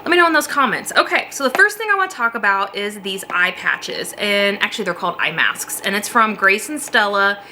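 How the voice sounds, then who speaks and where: A woman's voice: mid-range voice, lively intonation, a little fast, hardly any pauses, background noise. A woman with a slightly sniffly voice from a cold, chatting casually to camera from her basement office, rambling and laughing as she talks. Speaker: beauty vlogger.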